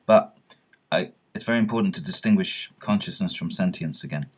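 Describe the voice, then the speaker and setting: A man's voice: deep voice, a little fast, darkish tone, slightly rough, muffled recording. A man, explaining philosophical views in a reflective, conversational interview manner. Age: 30s.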